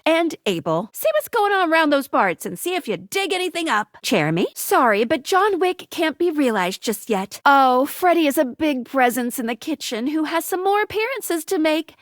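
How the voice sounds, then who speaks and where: High, bubbly game guide. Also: female voice, no room sound, clean studio sound. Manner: bubbly, playful, exaggerated. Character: perky, quirky, teasing young female game guide. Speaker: tutorial guide in a dating simulator game. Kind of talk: video game character voice lines.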